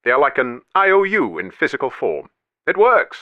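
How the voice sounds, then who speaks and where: A man's voice: mid-range voice, huge pitch swings, moderate pace, dry recording, narrow, tinny audio. A man voicing a haughty, greedy old banker in a comedy radio sketch. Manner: haughty, condescending.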